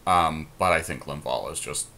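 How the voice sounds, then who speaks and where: A man co-hosting a podcast, chatting casually through a card draft and thinking out loud. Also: very deep voice. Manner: thinks aloud, trails off, quick asides. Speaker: podcast co-host.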